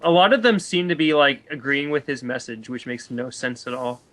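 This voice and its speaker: A man chatting casually and debating films on a voice call, with an animated, exasperated way of reacting. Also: medium pitch, moderate pace. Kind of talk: casual chat, film debate on a group voice call.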